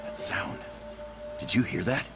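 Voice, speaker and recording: A man voicing an earnest adventurer devoted to his cat in a video game, with a deep, gravelly voice. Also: unhurried, music in the background, lightly acted. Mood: excited.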